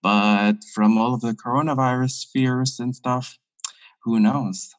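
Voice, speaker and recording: A man's voice: medium pitch, steady tone, a little slow, no room sound. A man from the Philippines, a podcast guest, talking in a witty, playful way and laughing easily. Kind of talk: casual podcast chat.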